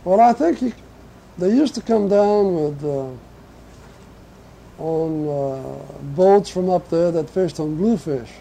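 An older man from Portugal recalls the past for an interviewer, halting often as he gathers his thoughts. His voice sits high for a man yet sounds deeply dark and mellow. A faint hiss runs under the recording. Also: expressive, vocal fry, poor audio. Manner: halting, reflective, slow recall. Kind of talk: oral history reminiscence.

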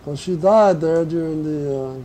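An older man from Portugal, reminiscing in an oral history interview, who talks in a halting, reflective way as he searches his memory. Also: a little high, dark tone, a little hiss or hum. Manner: halting, reflective, slow recall.

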